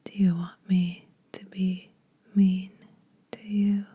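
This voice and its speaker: A woman playing a teasing, secretly kind vampire in an intimate, breathy whispered ASMR roleplay. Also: medium pitch, flat delivery, dark tone. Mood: teasing, coaxing. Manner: slow, intimate, dryly teasing. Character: teasing, lonely female vampire, ageless, secretly kind to mortals.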